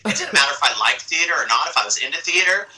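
A man's voice: fairly high pitch, very animated, very fast, a loud crowd, low-quality recording. A man with a slight lisp trading casual, joking banter.

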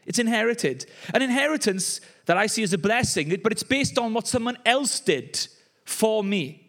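A man's voice: high voice, animated, normal speed, a bit bright. A young Welsh man, a pastor, preaching a conversational sermon with joking asides. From Wales. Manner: chatty, joking asides with laughs.

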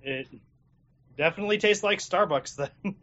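A man's voice: sits high, moderate pace, dull audio. A man playing in a tabletop game, chatting casually with self-deprecating jokes. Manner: lively, self-deprecating joking. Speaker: player.

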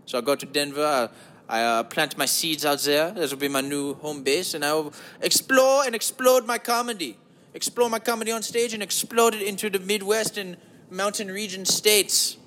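Dude doing a goofy flirty german guy, high voice, thick silly accent, creepy and campy, rambly podcast bit. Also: moderate pace, pushed and bright, a little hiss or hum. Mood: flirty, eager. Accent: exaggerated German.

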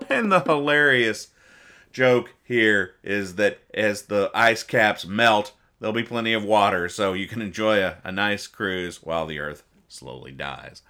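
A man's voice: fairly low pitch, bright, forceful tone. A man hosting a comedy podcast, casually reading out and explaining comics in a rambling, mock-earnest way. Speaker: podcast host.

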